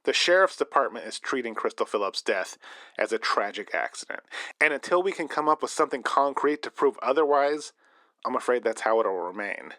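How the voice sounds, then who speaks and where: An earnest male investigative reporter played by an actor narrates for a late-night radio drama. His voice is dry and close, with a medium pitch and a serious, scripted delivery. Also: lively intonation, fairly quick, sharp tone, no echo. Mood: somber, resolute. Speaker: reporter and radio show host.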